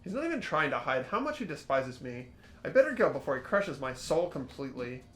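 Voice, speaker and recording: A man's voice: mid-range voice, big pitch swings, a little fast, soft background music, close to an everyday voice. A man voicing an earnest, curious and unsure teenage schoolboy in a fan-voiced mystery game, questioning and thinking aloud. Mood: earnest, resolved. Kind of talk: fan voice-over of a mystery visual novel.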